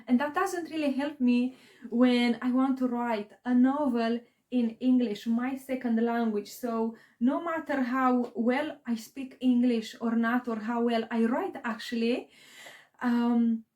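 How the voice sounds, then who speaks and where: A woman's voice: on the high side, fairly even intonation, unhurried, a little mellow. A woman in her thirties from Romania with a Romanian accent, English as her second language, chatting casually about her writing journey with lots of fillers and restarts. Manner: rambling, many fillers and restarts. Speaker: aspiring novelist vlogger. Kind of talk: casual personal vlog talk.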